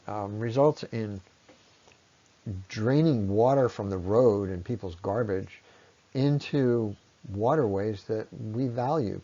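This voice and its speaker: A man presenting an explanatory slide talk in a conversational, unscripted way, with halting phrasing and frequent pauses. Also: fairly low pitch, gravelly, mellow, slightly rough, muffled recording. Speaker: presenter, ecology lecturer.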